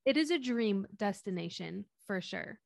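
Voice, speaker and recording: Excitable woman podcaster, very dry close sound. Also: medium pitch, normal speed, gravelly, no echo. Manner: excitable, giggly. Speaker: podcast co-host. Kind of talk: casual chat.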